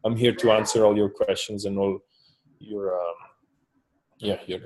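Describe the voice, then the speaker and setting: A man's voice: fairly low pitch, level tone, measured pace, a little mellow, low-quality recording. A man from Switzerland, a startup co-founder, talking through a partnership in a business meeting, hesitant with many fillers and restarts.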